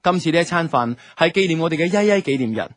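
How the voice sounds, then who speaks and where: Man acting a musing young Hong Kong storyteller, lively intonation. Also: on the high side, fast talker, no room sound, studio quality, natural voice. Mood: reflective. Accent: Hong Kong Cantonese. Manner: colloquial, reflective storytelling. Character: laid-back young man narrating his love life, musing. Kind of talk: first-person radio drama narration. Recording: music under the voice.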